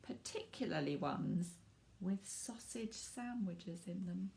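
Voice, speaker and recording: Expressive woman reading a storybook, slightly creaky. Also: fairly low pitch, a little hiss or hum. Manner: animated, dramatic emphasis with drawn-out words and hushed asides. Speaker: author reading own picture book to children. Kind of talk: expressive storybook reading with asides to young listeners.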